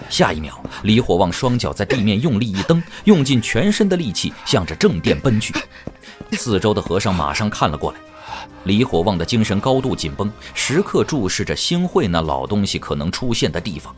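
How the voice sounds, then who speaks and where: Low-pitched, animated male narrator. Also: brisk. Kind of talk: dramatic scripted audiobook narration. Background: music.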